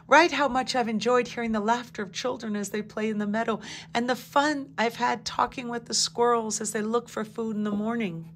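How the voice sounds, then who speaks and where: A gentle dandelion, voiced by a woman reading aloud, speaking in a softly raised, wistful voice that longs to be remembered. She sounds tender and a little dreamy, like a flower in a storybook. A faint hum sits under the recording. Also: fairly high pitch, fairly even intonation, a little fast, close to an everyday voice. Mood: gleeful.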